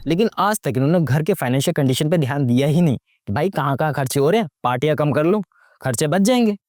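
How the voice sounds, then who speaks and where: A man's voice: fairly high pitch, expressive, fast talker, darkish tone, no echo, clean studio sound. A young man giving casual, sarcastic movie commentary, slangy and mocking, full of rhetorical questions and mixing English into his Hindi. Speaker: YouTube movie reviewer.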